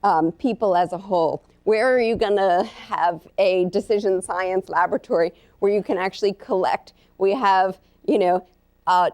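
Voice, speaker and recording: A woman professor giving a live lecture to an audience, earnest and halting, with many ums and uhs. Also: medium pitch, a little mellow. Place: lecture room.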